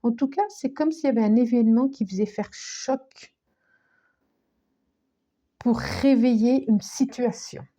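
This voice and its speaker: Slow, hushed woman with a cold. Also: a little high. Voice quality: slow, searching, many restarts and repetitions. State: has a cold, coughing. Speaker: tarot card reader. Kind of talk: unscripted tarot reading.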